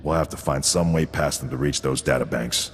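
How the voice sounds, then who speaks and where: Deep, gruff, stern army sergeant. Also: male voice, a little fast, slight background noise. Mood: matter-of-fact. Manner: clipped, blunt, military. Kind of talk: scripted video game acting. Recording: long reverb, game music behind.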